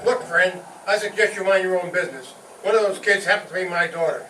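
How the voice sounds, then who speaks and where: A man as a high-voiced, blustering hot-headed father. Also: quick pace, bright, forceful tone. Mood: indignant. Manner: pompous, indignant, theatrical. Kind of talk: old-time radio comedy acting. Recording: roomy distant stage recording, faint noise.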